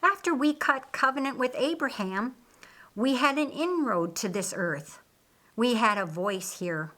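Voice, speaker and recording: A woman voicing a patient, authoritative male teacher in an audiobook, explaining in a measured, didactic way. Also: mid-range voice, bright, forceful tone. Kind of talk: audiobook character dialogue.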